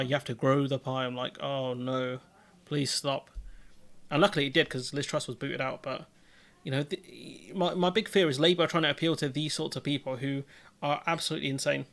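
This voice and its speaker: A man hosting a live political chat. His voice sits a little high, and he talks in an exasperated, sarcastic rant full of false starts as he picks apart viewers' takes. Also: a bit bright. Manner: exasperated, sarcastic ranting with many restarts. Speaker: livestream host. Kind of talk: political commentary livestream.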